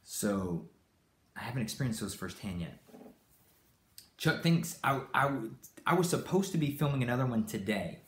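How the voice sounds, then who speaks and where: A man's voice: mid-range voice, big pitch swings, normal speed, long pauses, echoey room, slight background noise. A man who grew up in Brazil, hosting a live stream and answering viewers in a rambling, casual way with many fillers and restarts. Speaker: channel host.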